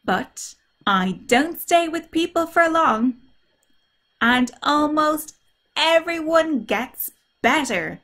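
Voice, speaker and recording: High, drawn-out cheerful cartoon virus voiced by a woman. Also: animated, fairly bright tone, heavily acted. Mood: explaining brightly. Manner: theatrical, drawn-out, emphatic. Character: cheerful, friendly cartoon virus introducing itself to children.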